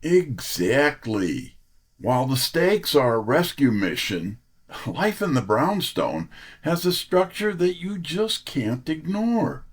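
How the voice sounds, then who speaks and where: Author guy in an interview, talks slow but really animated, kinda polished and scripted. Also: on the high side.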